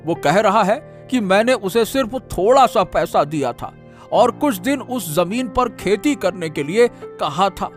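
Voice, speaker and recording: A man plays an aggrieved cartoon farmer with a high, very lively voice. He pleads his case deferentially and plaintively, with soft music behind. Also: measured pace. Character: troubled, aggrieved village farmer. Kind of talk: Hindi cartoon moral story dialogue.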